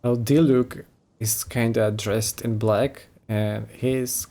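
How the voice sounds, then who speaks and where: Very low-voiced, unhurried woman gamer. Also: steady tone, mellow, a little hiss or hum. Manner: musing to self, interjecting. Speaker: let's play streamer. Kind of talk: casual game commentary. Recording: game music playing behind.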